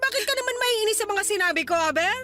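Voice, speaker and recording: A woman's voice: very high voice, animated, fast talker, bright, forceful tone, faint music behind, exaggerated voice. A woman playing a blunt, nagging older mother in a radio drama. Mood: scolding. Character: blunt, scolding older mother of a grown daughter. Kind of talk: Tagalog radio drama acting.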